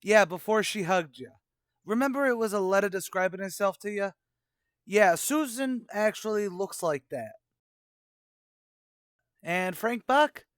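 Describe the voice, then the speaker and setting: A man's voice: high voice, lively intonation, a little slow, pauses often, a bit bright, dry recording, studio quality. A man playing a brash, slangy, bluffing tavern manager who wisecracks in an old-time radio comedy. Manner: wisecracking, mock-grandiose.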